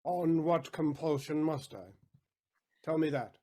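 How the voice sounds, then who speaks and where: A man's voice: fairly high pitch, lively intonation, slow, dark tone. A man acting a vengeful, stubborn moneylender in a Shakespeare audio play, with emphatic, defiant theatrical delivery.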